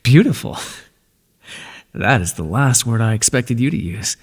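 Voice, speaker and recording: An ancient lord of the dead, played by a man, speaks softly and tenderly, his husky, breathy voice sitting at a middle pitch. His measured, reassuring words trail off into a long, lingering reverb. It sounds like an ASMR roleplay. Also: lively intonation. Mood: gentle, soothing. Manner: tender and reassuring toward the listener. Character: calm, ancient male god of the underworld.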